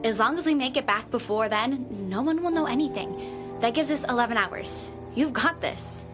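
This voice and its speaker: High-pitched young woman, acting as headstrong rookie alien pilot, breezy, impulsive, audio drama, loud music underneath. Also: expressive, moderate pace, natural voice. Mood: persuading.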